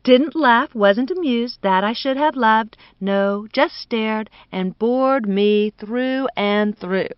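A woman's voice: on the high side, slow pace, hardly any pauses, clean tone, dry recording, dull audio. A woman voicing an arch, gushing society wife in a storybook, exclaiming with affected scorn. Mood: scornful amusement.

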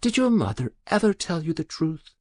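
A man voicing an elderly woman quietly confessing to a long-ago murder, in a raised, lively voice. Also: on the high side, normal speed, no room sound, clean studio sound, voice pushed up. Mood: pained.

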